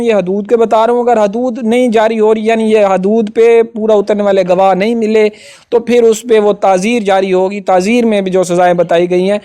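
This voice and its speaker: Man, high-pitched voice, Pakistani accent, law lecturer, informal explanatory delivery. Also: dark tone.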